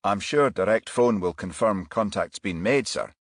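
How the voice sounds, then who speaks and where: A man voicing a brisk, irritable detective in charge, clipped and commanding, in a crime audiobook. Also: lowish voice, no echo, studio quality. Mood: earnest.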